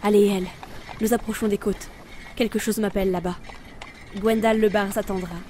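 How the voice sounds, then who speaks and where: A woman's voice: medium pitch, fairly even intonation, moderate pace, mellow, slight background noise, close to an everyday voice. An actress playing a weary, brooding female reaper of souls in an introspective audio drama narration. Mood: resolute. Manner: solemn, introspective.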